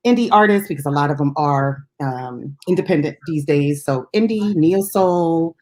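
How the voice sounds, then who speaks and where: A woman's voice: low-pitched, moderate pace, darkish tone. A woman co-hosting a casual music chat show as a DJ, talking in an informal, chatty and giggly way. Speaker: radio DJ and co-host.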